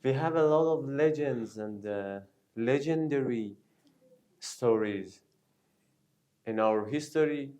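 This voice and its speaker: A man with a Persian accent, a native Persian speaker co-hosting a casual chat, slow and hesitant with many fillers. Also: medium pitch, warm tone. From Iran. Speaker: co-host.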